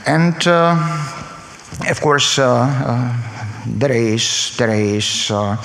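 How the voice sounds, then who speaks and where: A man from Slovakia with a Slovak accent speaks on a conference panel, halting and full of filler sounds and restarts. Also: mid-range voice, lively intonation, vocal fry, fairly bright tone. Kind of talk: academic panel talk. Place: conference hall. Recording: echoey hall recording.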